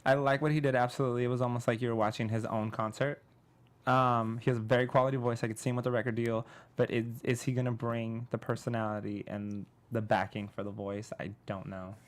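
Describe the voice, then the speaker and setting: A man's voice: mid-range voice, level tone, fast talker. A man co-hosting a casual talk show, blunt and teasing in a playful way. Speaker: co-host. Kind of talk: casual chat.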